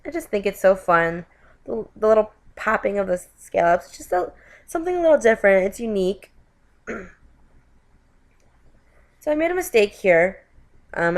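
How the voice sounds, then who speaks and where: Chatty woman with a stuffy cold voice. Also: medium pitch. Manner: chatty, with frequent self-corrections and "you guys". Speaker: craft video host. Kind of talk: voiceover narration of a card-making tutorial.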